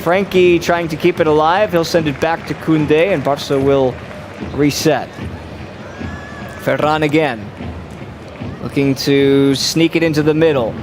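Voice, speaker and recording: A man calls a match live, moving from light jokes to quick play-by-play. His voice sits a little high. Steady traffic and outdoor noise run behind him. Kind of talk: casual play-by-play commentary.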